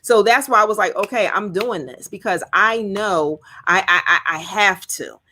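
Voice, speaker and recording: A woman's voice: medium pitch, moderate pace, bright, forceful tone, slight background noise. A woman in her fifties hosting a challenge group, talking in an earnest, personal way and repeating key points for emphasis. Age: 50s. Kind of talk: casual group talk.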